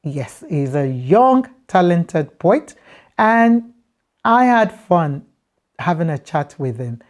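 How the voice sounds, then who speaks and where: A woman's voice: fairly low pitch, mellow. A woman hosting a talk show in a conversational interviewing style, often repeating answers back, recorded with echoey reverb.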